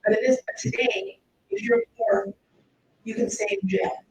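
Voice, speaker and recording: A middle-aged woman from Mexico speaks English in a slightly high voice, earnest and persuasive. She is a public defender at a podium taking questions. She sounds echoey and far from the mic, with faint room noise. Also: wildly swooping pitch, normal speed. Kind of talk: public speech and audience Q&A.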